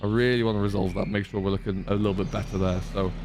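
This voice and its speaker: A man's voice: on the low side, level tone, rapid-fire, a little mellow, soft background music. A man hosting a farming roleplay gameplay video, chatting casually and explaining things as he thinks aloud. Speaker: gaming video host, playing a tenant farmer.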